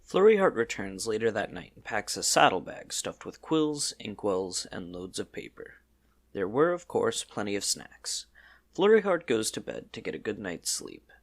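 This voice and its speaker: A man with a slightly low voice narrates a scripted tale. His pitch moves a great deal from phrase to phrase, giving the storybook narration a very animated shape. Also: moderate pace, gravelly.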